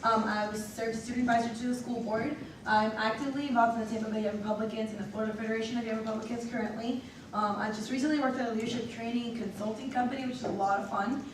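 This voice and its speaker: A woman's voice: a little high, fairly even intonation, racing. A woman in her twenties from Venezuela introducing herself as a student nominee, upbeat. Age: 20s. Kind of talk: self-introduction speech. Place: large echoey meeting hall. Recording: echoey hall, far from the mic, noisy.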